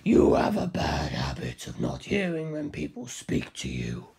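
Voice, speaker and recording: A narrator voicing a cold, disdainful superhuman male villain in a slow, deliberate, breathy whisper. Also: extremely low pitch, huge pitch swings, a little mellow, voice pushed down, heavily acted. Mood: exasperated.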